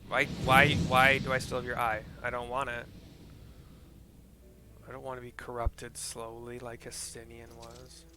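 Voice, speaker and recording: A man's voice: medium pitch, completely flat delivery, a little slow, background noise. A man streaming a video game, narrating scenes and commenting casually with rambling, informal, sweary asides. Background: game music.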